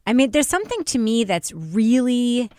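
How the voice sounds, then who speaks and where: A woman's voice: a little high, big pitch swings, moderate pace, a little mellow, dry recording, studio quality. A woman hosting a podcast panel, talking frankly and conversationally as she steers the discussion with her co-hosts. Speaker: podcast host leading the panel. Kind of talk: casual discussion, putting questions to co-hosts.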